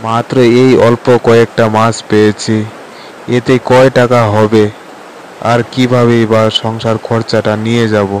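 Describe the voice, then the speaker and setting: A man's voice: medium pitch, level tone, unhurried, a little hiss or hum, natural voice. A man playing a poor, weary village fisherman in a cartoon story, sighing and plaintive. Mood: worried. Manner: plaintive, sighing, muttering to himself. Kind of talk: amateur cartoon story dialogue. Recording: loud background music under voice. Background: music.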